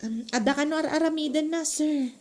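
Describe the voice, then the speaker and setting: A woman's voice: high voice, a little fast, darkish tone, natural voice. A woman playing a gossipy office co-worker in a comedy audio drama. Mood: deferential.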